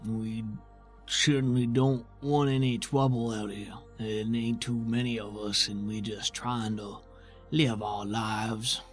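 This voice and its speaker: A man playing a weary, protective, tentacled creature in a tabletop roleplay, with halting, folksy, plainspoken speech. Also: fairly low pitch, little pitch movement, soft background music. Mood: grave, apologetic.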